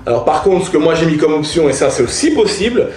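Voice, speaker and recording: Casual man with higher voice, echoey sound. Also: big pitch swings, fast, darkish tone. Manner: informal, slangy, emphatic repetition. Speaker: motorcycle vlogger reviewing gear. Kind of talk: casual product review talk to viewers.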